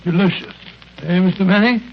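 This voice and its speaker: A dull, hissy vintage radio recording of an elderly British doctor with a high-pitched voice. He is a genial, fussy storyteller who rambles, stammers and chuckles sentimentally. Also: a little fast. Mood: genial, chuckling. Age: older. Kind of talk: old-time radio drama acting.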